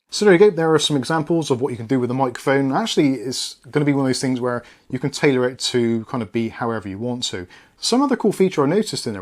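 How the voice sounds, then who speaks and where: Casual British man reviewing tech. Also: medium pitch. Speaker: product review vlogger.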